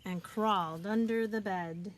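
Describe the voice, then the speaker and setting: A woman reading a children's picture book aloud, expressively and deliberately, as a teacher would for young listeners. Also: mid-range voice, a little hiss or hum. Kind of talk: children's storybook read-aloud.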